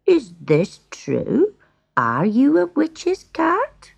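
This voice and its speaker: A kindly old grandfather woodcutter from a storybook, acted by a woman, with slow, drawn-out phrases. The voice has some gravel and rises and falls expressively. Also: on the low side, wildly swooping pitch, darkish tone, dry recording, exaggerated voice. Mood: gentle. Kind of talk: children's storybook character voice.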